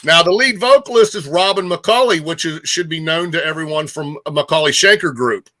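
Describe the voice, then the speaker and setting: Bright-voiced man chatting about music. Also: on the high side, normal speed. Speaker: music show host. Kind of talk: casual rock music chat.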